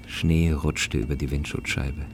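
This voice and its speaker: A man narrating a short story in a radio play, in a sober, measured and restrained way. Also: rumbling low, steady tone, background noise.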